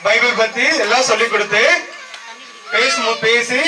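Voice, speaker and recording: A man's voice: extremely high pitch, flat delivery, moderate pace. A man telling a simple Bible story and leading prayer for children at a live program, in short phrases with questions. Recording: distant mic, noisy children's crowd.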